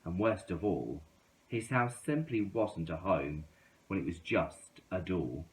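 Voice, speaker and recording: A man reading a children's story aloud in an expressive storytelling style. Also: deep voice, slow pace. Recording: roomy, echoing indoor sound.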